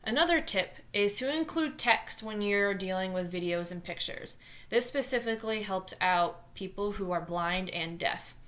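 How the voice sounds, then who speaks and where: A woman in her twenties with a New Jersey accent, a math teacher talking fast and earnestly through a scripted lesson video. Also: mid-range voice, lively intonation, a bit bright. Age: 20s.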